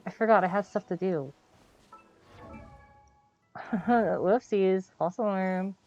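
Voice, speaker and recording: Woman streamer, casual, slow-paced, mulls choices out loud, soft music underneath. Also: mid-range voice, highs cut off. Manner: thinking aloud, hesitant and self-correcting. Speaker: game livestreamer, host. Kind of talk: casual livestream gameplay chat. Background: video game music.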